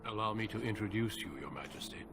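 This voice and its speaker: Solemn veteran warrior leader from a fantasy game, lowish voice, formal and measured, music playing behind. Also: male voice, expressive. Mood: courteous. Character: grave, seasoned male commander of a warrior order. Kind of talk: fantasy game dialogue and scripted narration.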